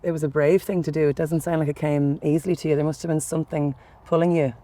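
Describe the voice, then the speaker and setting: Soft-spoken interviewer, higher voice. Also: male voice, flat delivery, fast talker. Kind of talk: gentle interview questions.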